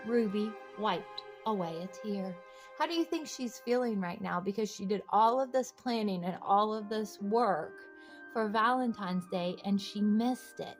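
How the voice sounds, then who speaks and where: A woman reading a children's storybook aloud in an encouraging way, pausing to ask young listeners simple questions. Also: mid-range voice. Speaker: children's book read-aloud host.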